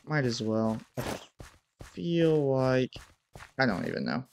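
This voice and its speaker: A man's voice: mid-range voice, sing-song, slow pace, vocal fry, darkish tone, a little hiss or hum. Young man with a stuffy nose, gaming. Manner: hyped intro, then muttered play-by-play. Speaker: gaming YouTuber. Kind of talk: casual gameplay commentary. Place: small room at home. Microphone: headset mic.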